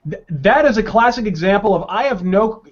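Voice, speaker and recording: A man with a notably high voice rants as a podcast panelist. He is sarcastic, profane and given to emphatic exaggeration. The audio is dull and lo-fi. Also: lively intonation, fairly quick. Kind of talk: opinionated video game podcast talk.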